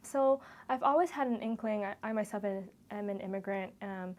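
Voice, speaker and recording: A woman in her twenties who grew up in Malaysia gives a reflective, interview-style testimonial. Her voice sits at an average pitch for a woman. She talks earnestly, linking loose phrases as she thinks back over her experience. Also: moderate pace, darkish tone. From Kuala Lumpur, Malaysia. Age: 20s. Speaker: medical student-to-be sharing a shadowing experience.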